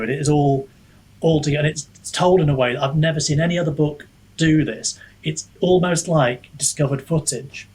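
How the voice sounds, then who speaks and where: A man's voice: on the high side, a little mellow, rough recording. A man speaking as a podcast guest in casual discussion, reflective and hesitant as he thinks aloud. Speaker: podcast guest, author and book reviewer.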